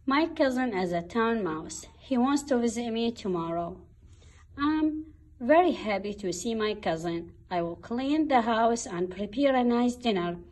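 A woman's voice: on the high side, animated, unhurried, darkish tone, low-quality recording. Lady reading as little country mouse from a kids book, modest, timid, takes its time. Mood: content.

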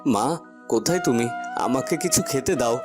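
A man's voice: mid-range voice, expressive, moderate pace, lightly acted. A man playing a poor, hardworking young farmer in a cartoon fairy tale, earnest and plaintive, over music. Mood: weary, pleading. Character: poor hardworking young village farmer, dutiful, sorrowful son.

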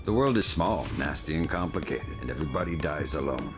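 A man playing a cynical veteran covert agent in a video game, terse and deadpan with dry wit. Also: extremely low pitch, brisk. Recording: loud music over the voice.

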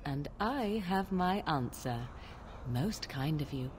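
An actress playing a wise, prim older female mage in a fantasy video game, speaking in a measured, formal, probing way. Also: low voice, lively intonation, noisy background. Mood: pointed. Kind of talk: video game dialogue acting.

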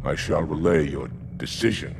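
An actor playing a stern alien military commander in a video game, grave and formal in a deep, gravelly voice. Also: male voice, normal speed, heavy background noise. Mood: restrained, grave. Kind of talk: video game cutscene acting. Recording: loud music behind.

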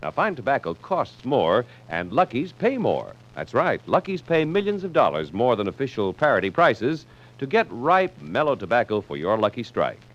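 Lively American man, muffled old radio ad. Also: medium pitch, moderate pace. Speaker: commercial announcer. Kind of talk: 1950s radio advertising pitch. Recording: muffled vintage radio broadcast.

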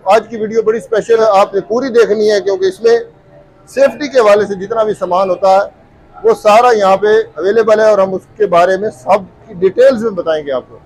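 A man's voice: high-pitched, moderate pace, darkish tone, low-quality recording. A young man, hosting a shop walkthrough video in a friendly, upbeat way, on a lapel mic in a large store. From Pakistan. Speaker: host. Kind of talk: vlog walkthrough interview. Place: large hardware shop, indoors.

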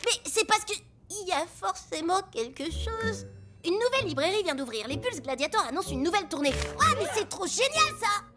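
A woman voicing a boastful, excitable little boy who plays superhero in a cartoon, grandiose and theatrical. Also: extremely high pitch, brisk. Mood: eager, smug. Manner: grandiose, self-important, theatrical. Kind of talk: animated cartoon acting. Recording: music behind the voice.

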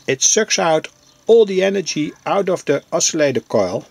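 A man giving an unscripted hands-on demonstration as a hobbyist presenter, explaining things in a halting, deliberate way. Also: on the high side, animated, vocal fry, husky, no room sound.

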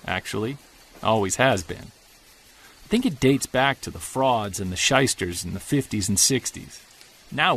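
Mężczyzna grający zmęczonego badacza z chrapliwym głosem. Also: male voice, lowish voice. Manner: confessional, earnest, quietly troubled. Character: weary middle-aged male Bigfoot investigator recording audio diary. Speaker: narrator. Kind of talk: scripted audio drama narration.

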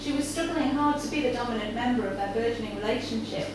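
A woman with a high voice reads a story aloud at a live event. She is heard from a distance in a strongly reverberant cafe bar, with clear noise from the room around her. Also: quick pace. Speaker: reader at a live literary event. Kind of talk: live story reading to an audience. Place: indoor cafe bar with a small audience. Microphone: far camera. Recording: echoey noisy room, far from microphone. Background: audience ambience and background noise.

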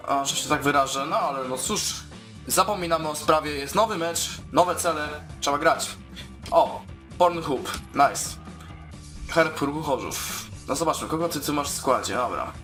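A man commentating casually on his own video game play in an excitable, informal way, with speech. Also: on the high side, moderate pace, soft background music. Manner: excitable, exclaiming, informal with swearing. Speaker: gaming YouTuber.

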